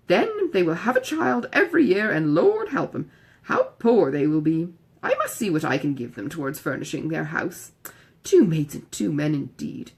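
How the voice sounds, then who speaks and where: A woman voicing a blunt, worldly older gentlewoman in an audiobook, an emphatic, good-hearted busybody. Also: fairly low pitch, creaky, slightly rough, exaggerated voice. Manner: emphatic, exclamatory. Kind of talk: audiobook character dialogue.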